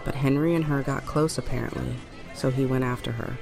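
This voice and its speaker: A woman plays a hardened, sardonic survivor in an audio drama. Her voice is creaky and her delivery dry and terse, with care underneath. Music runs behind her. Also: rumbling low, fairly even intonation, brisk, poor audio. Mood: wry, resigned.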